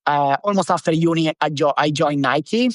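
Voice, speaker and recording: A man's voice: a little high, moderate pace, no room sound, clean studio sound. A man in his thirties from Italy with an Italian accent, chatting as a podcast guest, loose and rambling with lots of fillers. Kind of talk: casual interview chat.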